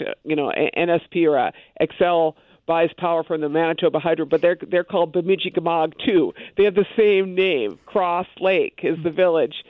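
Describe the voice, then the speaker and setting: A man with a somewhat high voice talks through a tinny, thin phone line. His speech is loose and conversational and full of asides. The sound is dry and close. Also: no room sound. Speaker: guest. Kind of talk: casual conversation on a radio show.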